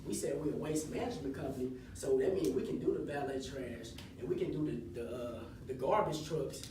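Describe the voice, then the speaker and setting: A man in his twenties giving a casual, encouraging motivational talk to high school students and leading them in chants. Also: a little fast, dark tone. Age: 20s. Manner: casual, encouraging, leads call-and-response chants. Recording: echoey hall, voice from far off, audible room noise.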